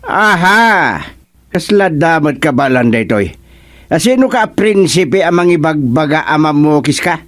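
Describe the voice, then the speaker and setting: Fussy, high-voiced comic king. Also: sing-song, measured pace, a bit bright. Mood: wary, blustering. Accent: Filipino. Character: fussy, doubtful comic king with threatening temper. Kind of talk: Filipino radio drama comedy acting.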